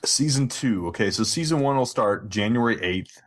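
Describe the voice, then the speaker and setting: A man's voice: medium pitch, animated, moderate pace. A man hosting a casual live gaming podcast in an informal, rambling way. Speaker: podcast host.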